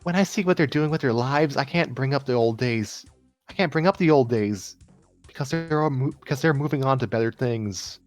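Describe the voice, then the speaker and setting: Man playing a wistful young woman, halting delivery. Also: mid-range voice, soft background music, voice pushed up, natural voice. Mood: resigned. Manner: halting, repeats and restarts lines. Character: wistful, self-doubting young woman ex-idol running family shop. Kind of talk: dramatic fan fiction reading.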